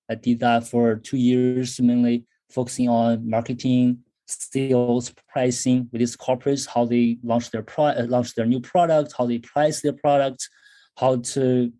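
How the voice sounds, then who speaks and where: A man in his thirties, originally from China, talks through his career as a podcast guest. His voice is mellow and relaxed, sitting at an ordinary pitch. He pauses often, filling gaps with ums and starting sentences over. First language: Chinese. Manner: thoughtful, frequent fillers and restarts. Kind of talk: casual interview talk.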